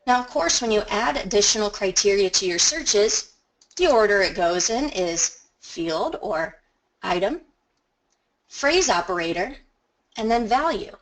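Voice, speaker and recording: A woman presenting a software training webinar, explaining each step in a conversational, instructional way and stressing key words. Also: medium pitch, animated, measured pace, rough recording. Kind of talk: instructional screen-share walkthrough.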